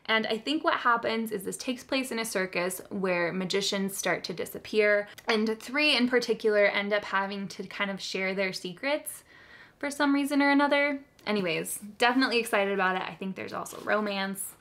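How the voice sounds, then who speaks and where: A woman's voice: mid-range voice, moderate pace, a bit bright. A woman hosting a book video, chatting casually to viewers in an enthusiastic, giggly, gushing way. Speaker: host of a book haul video.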